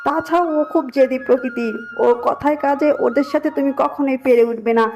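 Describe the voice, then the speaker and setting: A high-pitched middle-aged village matriarch, acted by a woman in a cartoon. She is chatty, scheming and sure of herself, with a doting streak. Soft music plays behind. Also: fairly quick, muffled recording. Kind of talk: Bengali cartoon voice acting.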